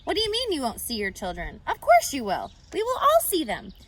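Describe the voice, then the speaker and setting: A woman's voice: sits high, huge pitch swings, a little fast, fairly bright tone, slight background noise, pitched above the performer's own voice, full character voice. A woman voicing a naive, tender young male pig in a storybook, eager and full of questions. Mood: worried, hopeful.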